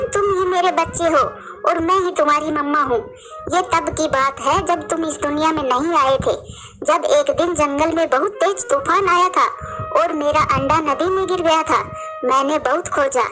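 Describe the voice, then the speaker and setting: Woman as a high-voiced anguished mother bird. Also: fairly bright tone, poor audio. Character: anguished mother bulbul bird, tender and pleading.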